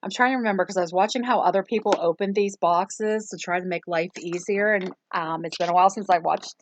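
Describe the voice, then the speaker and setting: A woman's voice: on the low side, fast, a little hiss or hum. A woman hosting a casual doll review, rambling along with lots of ums and self-corrections. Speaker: toy review channel host.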